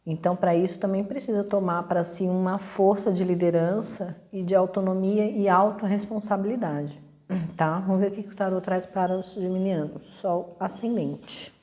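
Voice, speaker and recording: A Brazilian woman reading a weekly horoscope and tarot in a relaxed, hesitant way, clearing her throat as her voice catches. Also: mid-range voice. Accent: Brazilian Portuguese. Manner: relaxed, hesitant, lots of fillers and restarts. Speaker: astrology and tarot reader. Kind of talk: casual weekly horoscope talk.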